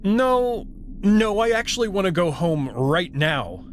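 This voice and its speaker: A man acts out a young abducted student in a sci-fi visual novel, wary and insistent. His voice is on the high side with a lot of melodic movement, and faint noise sits behind it. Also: big pitch swings, unhurried. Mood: firm, agitated. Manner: questioning, insistent. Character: wary, frightened abducted human male student. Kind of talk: visual novel character voice acting.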